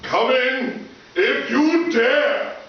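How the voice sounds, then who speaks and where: A man's voice: very high-pitched, extremely slow, full character voice. A man playing a pompous, hot-tempered older school principal in a blustering, theatrical old-time radio sitcom style. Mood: indignant. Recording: echoey live hall, distant mic, dull, hissy.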